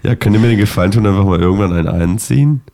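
A man in his thirties, sick and hoarse, chatting casually and joking as co-host of a podcast. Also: fairly low pitch, very fast, warm tone. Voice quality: raspy, gravelly. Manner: joking, rambling. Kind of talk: casual chat.

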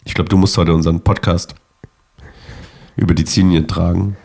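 A man in his thirties, sick and hoarse, chatting casually and joking as co-host of a podcast. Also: low voice, flat delivery, fairly quick, a little mellow. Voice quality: raspy, gravelly. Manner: joking, rambling. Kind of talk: casual chat.

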